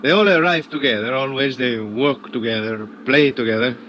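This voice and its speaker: An actor playing an earnest, friendly local guide in a 1950s radio drama. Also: male voice, mid-range voice, normal speed, a bit bright, close to an everyday voice. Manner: earnest, broken English. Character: local man, friendly guide, Spanish accent. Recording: dull old radio recording with hiss.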